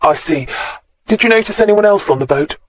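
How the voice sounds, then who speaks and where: A man's voice: high voice, animated, brisk, voice pushed up. A man voicing a polite, dogged young detective constable asking careful questions.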